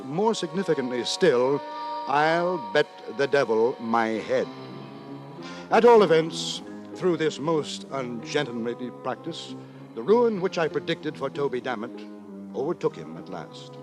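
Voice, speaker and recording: An actor playing a fussy, pompous gentleman narrator in an old-time radio drama, speaking in florid, theatrically indignant phrases. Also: mid-range voice, lightly acted. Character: fussy, pompous gentleman narrator lamenting his wayward friend. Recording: old radio recording with loud music behind.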